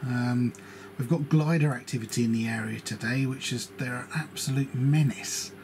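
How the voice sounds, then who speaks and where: A man's voice: medium pitch, steady tone, normal speed. A man giving casual, grumbling and opinionated running commentary as an aviation hobbyist. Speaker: aviation hobbyist narrating radio scanner and flight tracker. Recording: radio and ambient noise behind voice.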